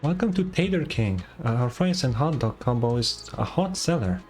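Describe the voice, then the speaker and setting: A man voicing a helpful female fast-food stall worker in a video game. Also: medium pitch, animated, a little mellow, soft background music, close to an everyday voice. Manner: polite, customer-service helpful. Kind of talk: reading game dialogue aloud.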